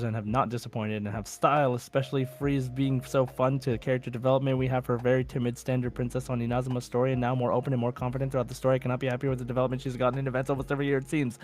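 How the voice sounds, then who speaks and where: A man hosting a podcast in casual chat, reading out listener messages with informal, goofy asides. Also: medium pitch. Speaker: podcast host.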